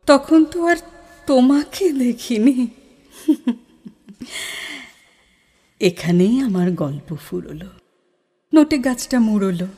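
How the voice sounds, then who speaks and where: Woman acting a slow, high-voiced melancholy ghost woman. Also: big pitch swings, breathy edge, full character voice. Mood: wistful, sorrowful. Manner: theatrical, lingering, slipping into hushed whispers. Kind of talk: Bengali audio horror drama, dramatic storytelling. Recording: music under the voice. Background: dramatic background music.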